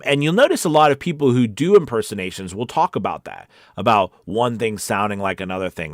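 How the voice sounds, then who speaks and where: A man's voice: fairly low pitch, dry recording, studio quality. A man hosting a casual livestream Q&A as a voice-over coach, talking in a conversational, rambling and encouraging way.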